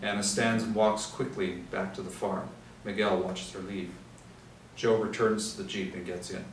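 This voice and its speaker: A man's voice: on the low side, level tone, moderate pace. A man reading out scripted screenplay action and stage directions as the narrator. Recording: echoey room, distant mic, faint noise.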